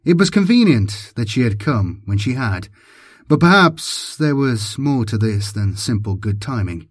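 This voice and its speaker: A man's voice: mid-range voice, big pitch swings, a little slow, husky, no room sound, studio quality. A man giving a dramatic scripted narration of an audiobook as its narrator.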